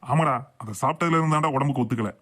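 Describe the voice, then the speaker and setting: A man's voice: mid-range voice, racing, a bit bright, lightly acted. A man voicing a boastful, grumbling husband and father in a comic cartoon. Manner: blustering, complaining.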